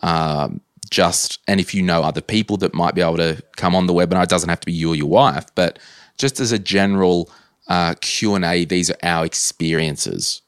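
Deep-voiced Australian man, rambling host. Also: moderate pace, clear, resonant tone, dry recording, clean studio sound. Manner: thinks aloud, rambling and tentative. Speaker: podcast host. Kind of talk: casual interview chat.

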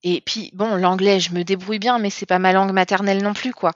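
A woman's voice: medium pitch, steady tone, no echo. Candid woman telling a story, dry dull sound. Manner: candid, halting, many restarts. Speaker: guest. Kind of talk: personal storytelling in conversation.

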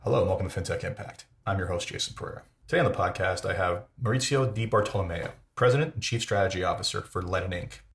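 A man hosting a podcast, reading a brisk, businesslike intro before an interview. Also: deep voice, vocal fry, echoey room, soft background music. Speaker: podcast host.

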